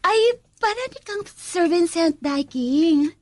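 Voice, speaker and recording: Tearful, very high-voiced lovesick young housemaid. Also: female voice, lively intonation, a little hiss or hum, full character voice. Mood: lovestruck. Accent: Filipino, Cebuano. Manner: emotional, halting, stammering. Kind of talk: Philippine radio drama acting.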